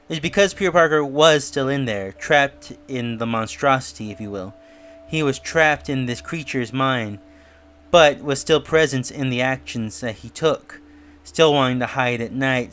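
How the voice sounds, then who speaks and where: A man's voice: mid-range voice, fairly bright tone, slight background noise. A man giving a casual review and theory commentary in a musing, speculative way. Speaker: YouTube reviewer. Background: music.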